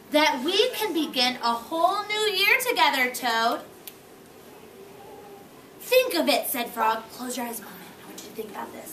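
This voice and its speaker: A woman with a very high voice reads aloud to young children as their teacher. She speaks slowly, pausing to ask and repeat simple questions. The recording is echoey and distant, with clear noise in the classroom. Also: bright, forceful tone. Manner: slow, questioning, repeats and prompts. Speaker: early-grade teacher. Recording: echoey room, distant mic, noisy.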